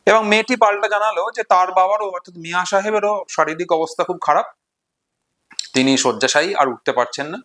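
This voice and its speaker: Male literature explainer, higher-pitched voice, unscripted storytelling lesson delivered deliberately with pauses. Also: a bit bright.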